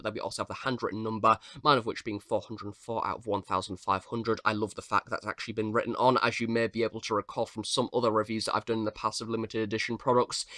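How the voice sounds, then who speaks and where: A man's voice: on the low side, sharp tone. A British man giving a casual, chatty product review in a rambling way. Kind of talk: casual unboxing review talk.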